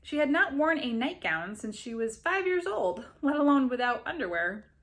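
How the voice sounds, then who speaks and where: A woman reading a story aloud as an expressive narrator. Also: high-pitched, normal speed. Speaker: reader of a story.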